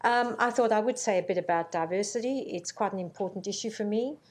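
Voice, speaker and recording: A middle-aged woman born in Zambia giving a formal conference welcome speech, measured and earnest, with frequent ums. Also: medium pitch, darkish tone. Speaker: university vice-chancellor. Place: conference hall.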